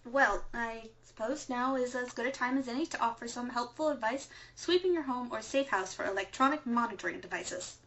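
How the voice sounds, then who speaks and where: A woman acts out a resistance radio co-host in an audio drama. The voice is fairly high, with a measured, matter-of-fact delivery. The recording is slightly dull and lo-fi. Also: fairly bright tone. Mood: instructive. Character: matter-of-fact female resistance co-host, mild but testy. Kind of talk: amateur audio drama, scripted instructional broadcast.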